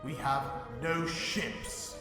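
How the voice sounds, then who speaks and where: A man's voice: a little high, steady tone, drawn-out words. A man playing a bitter, haughty senator and deposed commander in a dramatic comic dub, with a sarcastic manner. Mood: scornful. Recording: echoey cave reverb, distant, music behind.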